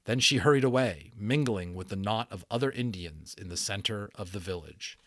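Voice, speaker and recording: A man reading a story aloud as a dramatic, expressive narrator, with casual explanations for listeners. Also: on the low side, normal speed, no echo. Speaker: audiobook narrator and channel host.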